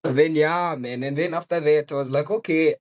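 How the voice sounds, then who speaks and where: A young man from South Africa with a South African accent, telling his story in a relaxed, slangy podcast chat. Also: a little high, fairly quick. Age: young adult. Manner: relaxed, slangy, says bro a lot. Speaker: podcast co-host. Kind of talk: casual chat.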